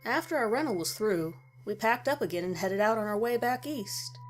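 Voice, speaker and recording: Woman reading a scary story out loud, medium pitch, bit of music behind her. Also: lively intonation, normal speed. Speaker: story narrator. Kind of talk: scripted narration of a first-person horror story.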